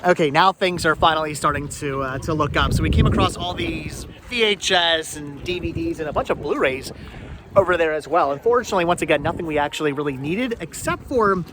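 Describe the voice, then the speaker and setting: Guy in his 40s w a high voice, outdoors w lots of noise, casual vlog chat, stammers and repeats himself, jokey. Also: a little fast. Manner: stammering, repeats words and phrases; jokey banter with companions. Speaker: vlog host. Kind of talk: casual chat, vlog commentary while shopping. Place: outdoor flea market. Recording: noisy outdoor ambience.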